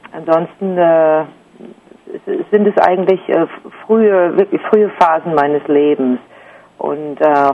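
A German woman in her forties answering interview questions thoughtfully, pausing often with fillers and restarts. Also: low voice, gravelly, narrow, tinny audio. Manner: hesitant, many fillers and restarts. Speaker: interview guest, artist.

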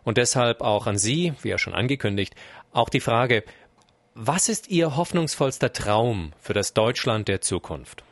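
A man conducting an interview and reading formal, prepared questions in a polite, measured way. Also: medium pitch, expressive, no room sound.

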